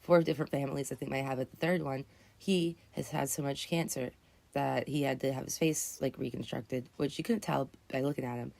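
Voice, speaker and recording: A woman in her twenties, her voice raspy from just waking up, talks in a low, dark, gravelly tone. She rambles earnestly through her thoughts, correcting herself mid-sentence as she hosts a casual live chat. The sound is dry and close. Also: fairly even intonation, fairly quick, no echo. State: just woken up. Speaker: livestream host.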